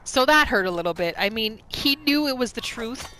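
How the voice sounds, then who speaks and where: A woman's voice: a little high, expressive, moderate pace, sharp tone, a little hiss or hum, low-quality recording. A woman doing roleplay gameplay commentary, narrating her character's thoughts and reacting to the game. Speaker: gaming video creator, roleplayer. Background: faint music.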